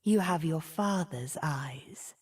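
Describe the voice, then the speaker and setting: An actress voicing a cunning, imperious sorceress in a video game, breathy and taunting, sinking toward whispers. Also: female voice, sits low, takes it slowly, a little mellow, heavily acted. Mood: sly, taunting. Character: cunning, imperious female sorceress, scheming and menacing. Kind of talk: video game character voice lines.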